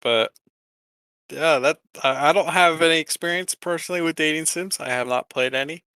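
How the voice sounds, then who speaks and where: A man co-hosting a podcast, chatting casually about games in a somewhat halting, conversational way. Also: a little high, pushed and bright, clear, resonant tone, no echo, clean studio sound. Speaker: podcast co-host.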